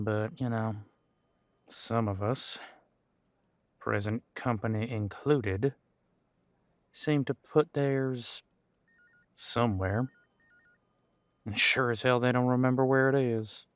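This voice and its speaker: A man's voice: lowish voice, fairly even intonation, slow, long pauses, dry recording. Man playing a folksy veteran cop, dry close sound. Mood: folksy, reminiscing. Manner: easygoing, folksy, hesitant, chatty. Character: friendly, folksy, long-serving supernatural squad cop, older than he looks. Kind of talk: conversational audio roleplay to an unseen partner.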